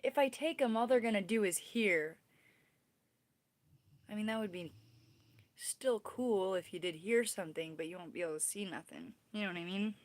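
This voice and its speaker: A woman's voice: medium pitch, moderate pace, pauses often. A woman in her thirties hosting a casual livestream, rambling and chatting straight to her viewers. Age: 30s. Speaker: livestream host.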